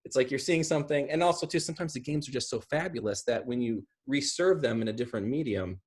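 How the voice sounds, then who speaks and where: A middle-aged man with an American accent and a slightly husky voice, talking casually and off the cuff in an animated, rambling way. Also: mid-range voice, fast. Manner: animated, gesturing, rambling with asides. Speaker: video host discussing video game films. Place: small room at home, indoors.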